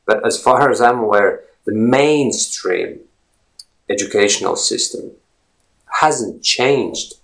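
Gravelly Latvian man, rambling monologue. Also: lowish voice, takes it slowly, a bit bright, rough recording. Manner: rambling, filler-heavy, repeats words. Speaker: language blogger. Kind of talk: casual monologue.

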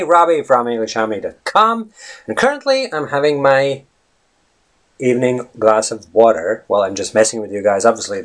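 Chatty Latvian-accented man coaching English. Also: medium pitch. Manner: chatty, rambling, fillers and self-corrections. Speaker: podcast host, English fluency coach. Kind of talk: casual video monologue.

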